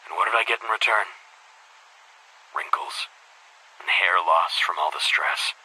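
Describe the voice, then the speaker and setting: An actor playing a weary, embittered middle-aged school headmaster in a video game monologue, speaking in a formal, measured, brooding way with a husky voice. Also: male voice, sharp tone, full character voice, rough recording. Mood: bitter, regretful. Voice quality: husky, breathy low voice.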